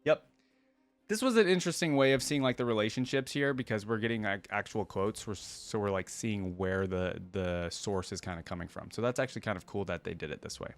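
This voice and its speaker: Lower-voiced man doing casual reaction commentary. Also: a little fast, no echo. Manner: informal, thinking aloud between read passages. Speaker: reaction channel host. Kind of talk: casual reaction commentary, reading wiki text aloud.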